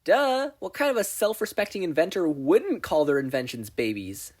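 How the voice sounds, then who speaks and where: A narrator acts out a teenage girl inventor for an audio fan story. She is brash, blunt and very sure of herself, with emphatic, swooping delivery and a slightly rough, husky edge. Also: medium pitch, big pitch swings, moderate pace, darkish tone, voice pushed up. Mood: boastful, enthusiastic.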